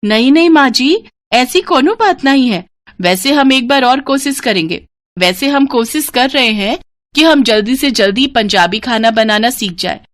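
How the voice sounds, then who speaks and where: A woman's voice: sits high, animated, moderate pace, a bit bright, pitched above the performer's own voice. A woman voicing a dutiful young Bihari daughter-in-law in a story, polite and apologetic, with a Bihari accent. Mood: apologetic, anxious.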